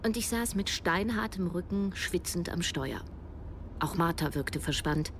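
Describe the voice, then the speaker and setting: A woman's voice: fairly low pitch, expressive, unhurried, a little hiss or hum. An actress playing a broke, self-doubting writer in her thirties, narrating a radio drama in the first person. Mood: reflective. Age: 30s. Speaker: narrator and lead.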